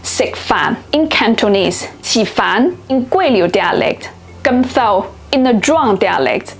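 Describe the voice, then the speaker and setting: A young woman, a native Chinese speaker, narrating a scripted language lesson and slowing down carefully on example words. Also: a little high, lively intonation, soft background music. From China. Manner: clear, deliberate, slows down to pronounce example words. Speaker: language teacher and video host.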